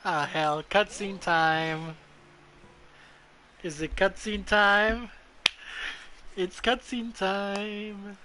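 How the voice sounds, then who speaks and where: A young adult woman reacting casually to a game as a streamer, blunt, sweary and excitable. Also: medium pitch, drawn-out words, long pauses, background noise. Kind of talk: casual live gameplay reaction.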